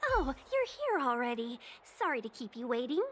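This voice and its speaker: A woman's voice: sits high, very animated, moderate pace. A woman voicing a courteous young female guide in a video game. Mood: polite, bright.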